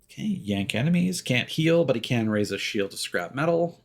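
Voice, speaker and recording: A man's voice: medium pitch, expressive, normal speed, gravelly. A man streaming a video game, giving casual live commentary and thinking aloud as he plays.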